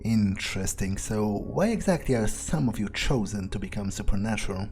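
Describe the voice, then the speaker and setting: A man's voice: on the low side, expressive, darkish tone. A man roleplaying a guarded, blunt, sardonic vampire in a video game, with a wry, hesitant, thinking-aloud delivery. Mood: sardonic, probing. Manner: wry, unhurried, hesitant musing, casual swearing. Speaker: let's player. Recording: faint music behind the voice. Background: faint game music.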